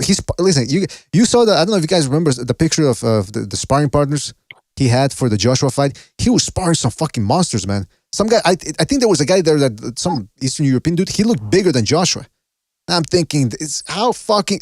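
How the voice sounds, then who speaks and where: A man hosting a boxing podcast, chatting casually and thinking out loud with some swearing. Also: medium pitch, no room sound, clean studio sound. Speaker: podcast host.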